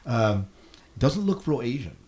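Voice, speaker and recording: A man hosting a casual podcast discussion, halting as he repeats and rephrases himself. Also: mid-range voice, sing-song, a little mellow. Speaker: podcast host.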